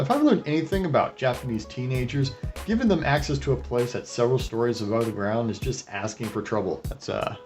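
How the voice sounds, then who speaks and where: A man's voice: mid-range voice, darkish tone. A man hosting a video review, narrating with wry, sardonic commentary and crude joking asides. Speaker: host of an anime retrospective review.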